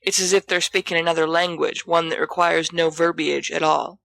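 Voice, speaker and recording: A woman's voice: low-pitched, little pitch movement, moderate pace, gravelly, a bit bright, no room sound. A woman reading a scripted story aloud as an audiobook narrator. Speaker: volunteer audiobook reader.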